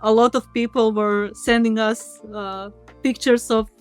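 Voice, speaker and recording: A woman's voice: on the high side, fairly even intonation, a little slow, clear, resonant tone, faint music behind. A woman speaking casually as an interview guest, explaining things as an indie game developer and rephrasing as she goes.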